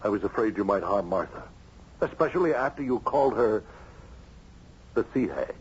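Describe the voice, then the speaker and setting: Insistent doctor, dull old radio. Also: male voice, fairly low pitch, expressive, normal speed, slightly rough. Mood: defensive. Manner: insistent, dramatic. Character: urgent, manipulative doctor, an agitated family friend. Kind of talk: old-time radio drama acting.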